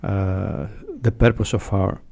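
A middle-aged man with a Greek accent, answering interview questions in a halting, hesitant way. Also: low voice, flat delivery, creaky, warm tone. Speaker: radio station staff member, interviewee. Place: small foam-padded radio studio. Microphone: close studio mic on boom arm, headphones.

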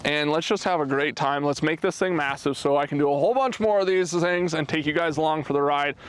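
A man's voice: a little high, a little fast, clear, resonant tone. A man in his thirties hosting a casual promotional vlog outdoors at a race track, filming himself at arm's length and talking energetically with his hands. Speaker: automotive vlogger, event organizer. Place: outdoors at an open, windy race track facility. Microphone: camera held at arm's length.

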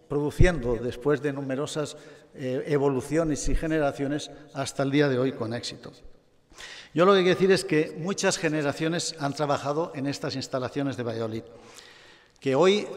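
Older guy giving an award speech at a podium, bit high voiced, dry jokes, big echoey hall. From Catalonia, Spain. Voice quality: measured, with light humor. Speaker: award recipient. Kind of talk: formal acceptance speech. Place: large gala hall. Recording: long reverb of a hall.

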